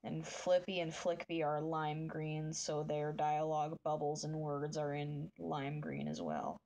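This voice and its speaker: A woman streaming live, chatting with viewers in a soft, meandering way while she draws, with a voice that is deep for a woman. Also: unhurried. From northern United States. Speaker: livestreamer. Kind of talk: casual chat while drawing on a livestream.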